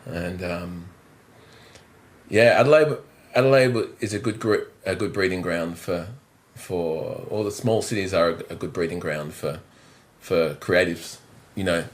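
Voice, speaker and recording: A man's voice: low voice, a little slow. A man in his thirties with an Australian accent, a drummer talking as a guest in a casual interview, rambling and self-deprecating with lots of restarts. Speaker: drummer and musical director, podcast guest. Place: indoors, on a couch.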